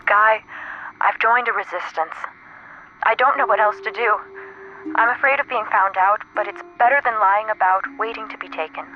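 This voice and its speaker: Frightened sweetheart on crackly old telephone. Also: female voice, medium pitch, normal speed. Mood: fearful. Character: frightened, devoted woman, a sweetheart trapped under alien occupation. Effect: old telephone and radio filter. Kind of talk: retro radio drama acting. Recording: compressed narrow-band line with static noise.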